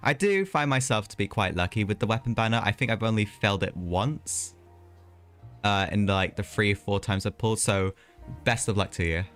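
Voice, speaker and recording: A man's voice: lowish voice, expressive, normal speed, a bit bright, faint music behind. A man live streaming a video game, chatting casually in a rambling way with hesitant asides.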